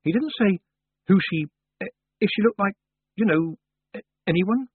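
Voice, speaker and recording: A young witch girl from a fantasy storybook voiced by a male narrator, blunt and brisk, pitched up and defiant. The recording is narrow and phone-like. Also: wildly swooping pitch, husky, dry recording, heavily acted. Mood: nonchalant, halting.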